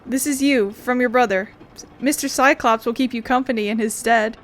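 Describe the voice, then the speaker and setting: Gamer woman, fairly high voice, playful, reading game text out loud and chatting as she plays. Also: fairly even intonation, normal speed. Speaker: gaming video host. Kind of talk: casual game playthrough, reading text aloud.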